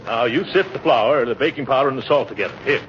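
An actor playing a pompous, blustering middle-aged American uncle who hems and haws, in 1940s radio comedy style. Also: male voice, medium pitch, fast talker, fairly bright tone, natural voice. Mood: busy, confident. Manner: blustery, hemming and hawing. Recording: muffled, noisy old radio recording.

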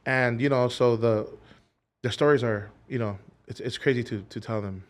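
A man, a musician guest on a podcast, talking in a relaxed, easygoing way in casual interview chat. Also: medium pitch, normal speed. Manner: relaxed, easygoing, loose phrasing.

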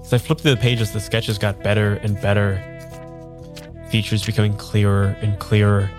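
Audio drama lead for a male actor: apologetic, uneasy man with no memory, lowish voice, halting delivery, music bed. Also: little pitch movement, close to an everyday voice. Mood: quiet wonder. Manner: halting, repeats himself, hesitant. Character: uneasy amnesiac man, apologetic and wistful, narrating his search. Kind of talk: improvised audio drama, first-person narration and dialogue.

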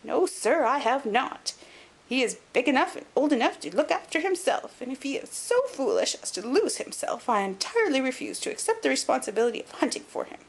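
Miserly old nobleman played by a female narrator, high and crackly, querulous, indignant, swooping storybook delivery. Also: big pitch swings, vocal fry, husky, heavily acted. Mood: indignant, stingy. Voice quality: sharp crackle. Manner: quick, intense, indignant. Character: querulous, miserly little old lord, sharp and twitchy. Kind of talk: audiobook character acting.

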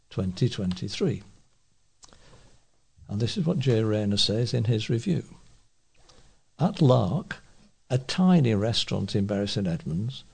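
A British man reads a newspaper review aloud in a deliberate, expressive way. His voice is husky and creaky, at a middling male pitch. It is the delivery of a volunteer newsreader working from a script.

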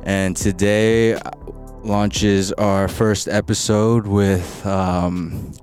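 A man's voice: on the low side, little pitch movement, extremely slow, a little mellow, faint music behind. A man hosting a faith podcast, talking casually with many ums and likes. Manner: hesitant, many fillers. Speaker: podcast host. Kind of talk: casual interview.

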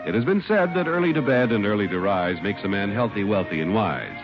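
Very animated male 1950s radio announcer. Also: fairly low pitch, a little fast, music in the background. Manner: emphatic, polished salesmanship. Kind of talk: 1950s radio advertising and scripted narration. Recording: muffled old radio recording.

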